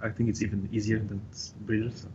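A young man from Poland with a Polish accent, chatting as an interview guest, halting and full of fillers. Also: on the low side, little pitch movement, warm tone, muffled recording, slight background noise. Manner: halting, uses many fillers like "like". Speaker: guest, gym member. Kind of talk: casual interview chat.

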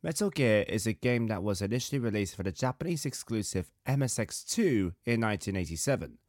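A man in his thirties, slightly hoarse with a sore throat, hosting a podcast in a measured, articulate, scripted narration style. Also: lowish voice, very animated, darkish tone, dry recording, clean studio sound. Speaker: podcast host, composer.

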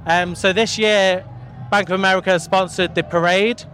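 A man's voice: sits high, fairly even intonation, moderate pace, fairly bright tone, soft background music. A man interviewing guests live at an outdoor festival, upbeat and chatty, asking long questions with restarts. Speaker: podcast interviewer.

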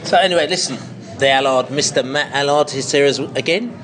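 A man's voice: mid-range voice, brisk, fairly bright tone. A man with a London English accent hosting a casual, jokey podcast chat in a pub. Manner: jokey, bantering. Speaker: podcast host. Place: busy pub.

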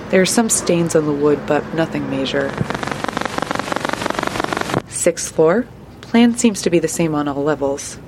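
A woman's voice: low voice, background noise, natural voice. An actress playing a curious, careful female building surveyor in an audio drama, inquisitive and polite. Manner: careful and inquisitive, growing uneasy.

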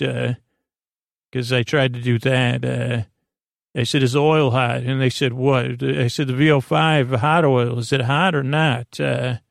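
A man hosting a bedtime podcast in a meandering, halting monologue, doubling back on phrases with deadpan whimsy. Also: medium pitch, vocal fry, dry recording, studio quality. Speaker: podcast host.

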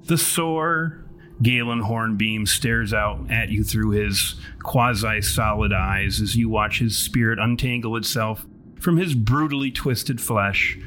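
A man's voice: lowish voice, a bit bright, a little hiss or hum. A man working as game master, narrating a horror tabletop game in grandiose, wordy, wry language. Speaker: podcast writer and artist.

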